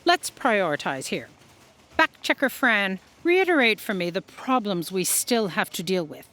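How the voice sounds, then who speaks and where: A woman's voice: mid-range voice, big pitch swings, a little slow, a bit bright. A woman playing an imperious, impatient boss in a comic sci-fi audio drama, bossy, curt and dismissive. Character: imperious, impatient female editorial boss of destiny bureau.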